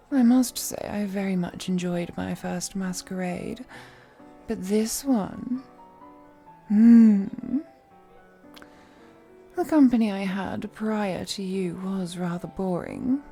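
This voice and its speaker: Woman playing a slow, velvety, flirtatious lady vampire. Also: medium pitch, gravelly, mellow. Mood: amused, charming. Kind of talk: scripted roleplay audio, intimate one-sided dialogue to the listener.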